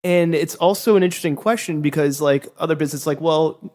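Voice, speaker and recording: A man's voice: on the high side, fast talker, darkish tone. A man hosting an interview, asking long, rambling questions and correcting himself as he goes. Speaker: podcast host.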